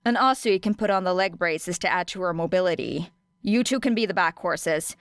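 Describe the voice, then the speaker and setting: Woman acting tough young girl, story character, blunt, wry, smooth clear voice, dry sound. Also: lowish voice, moderate pace, dry recording, natural voice. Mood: decisive. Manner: wry, swearing, matter-of-fact.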